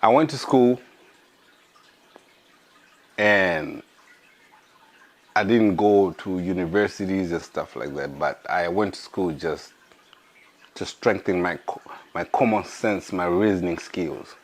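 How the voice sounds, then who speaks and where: Podcast host, hoarse, very low voice, slow and searching. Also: male voice. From Africa. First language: an African language. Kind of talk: casual monologue.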